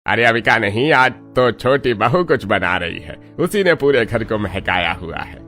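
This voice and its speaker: A man's voice: lowish voice, expressive, unhurried, a bit bright, soft background music. A man playing an appreciative older head of a family in an acted story. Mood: curious.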